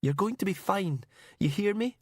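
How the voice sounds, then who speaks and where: A man voicing a young woman held captive in the dark, pleading and sobbing. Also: very high-pitched, unhurried, no echo, clean studio sound, voice pushed up. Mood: desperate.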